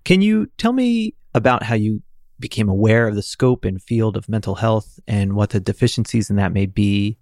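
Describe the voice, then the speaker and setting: A man's voice: lowish voice, creaky, darkish tone, no room sound, studio quality. A man co-hosting a podcast, asking curious, long multi-part questions. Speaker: podcast co-host. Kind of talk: interview questions.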